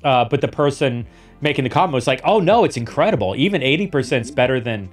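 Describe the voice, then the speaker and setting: A man's voice: mid-range voice, animated, quick pace, soft background music. A man hosting a podcast in casual conversation, rambling with lots of restarts and repeated words. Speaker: podcast host.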